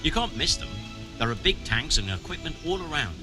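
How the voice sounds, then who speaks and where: Stern medieval mining chief, music behind. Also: male voice, on the high side, sing-song, a little fast. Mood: businesslike, cautioning. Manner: brusque, commanding, formal wording. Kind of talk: scripted video game dialogue.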